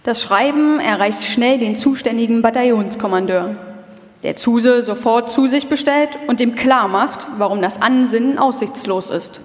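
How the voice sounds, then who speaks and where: A woman's voice: a little high, moderate pace. A woman narrating a scripted documentary feature live. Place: live stage in a reverberant hall. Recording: echoey hall with long reverb.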